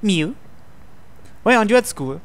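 Higher-voiced young man reading aloud. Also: animated, normal speed. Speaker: host reading a visual novel aloud. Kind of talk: live read-aloud of narration and dialogue. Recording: music behind the voice. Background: game background music.

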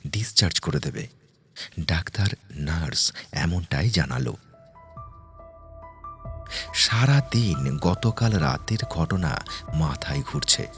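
A man narrating a first-person ghost story in a dramatic, suspenseful way, with deliberate pauses and repeated words. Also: low-pitched, lively intonation, a bit bright. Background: music.

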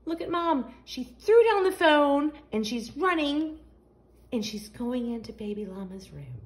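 A woman's voice: sits high, sing-song, measured pace. A woman hosting a children's storytime, reading a picture book aloud expressively in a sing-song, question-asking way. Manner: sing-song, asks the young listeners questions.

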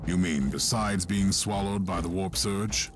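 An actor playing a stern, commanding inquisitor in a video game, curt and authoritative. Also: male voice, deep voice, measured pace. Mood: stern, controlled. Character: stern commanding male inquisitor of grim resolve. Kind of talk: scripted game dialogue. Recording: loud game music and machinery behind.

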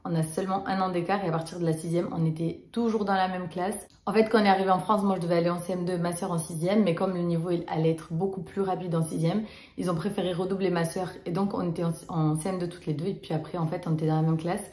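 A woman's voice: on the low side, fairly even intonation, fast talker, dark tone, roomy sound. A young woman from Romania in her twenties, vlogging a casual storytime to the camera with many fillers and restarts. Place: small room indoors.

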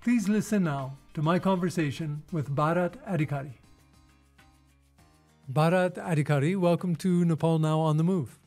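A man hosting an interview podcast, reading a scripted intro and then asking measured questions. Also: on the high side, a little mellow, faint music behind. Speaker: podcast host.

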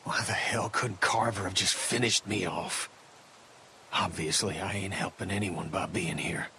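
A man's voice: deep voice, steady tone, a little slow, bright, forceful tone, a little hiss or hum, full character voice. A man playing a bitter, weary, grieving middle-aged survivor in a video game drama. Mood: bitter despair.

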